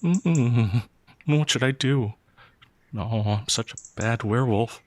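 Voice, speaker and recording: A man playing a gentle, naive young peasant werewolf, hesitant and easily flustered, in a fantasy audio roleplay. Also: mid-range voice, lively intonation, slow, no echo. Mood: flustered, worried.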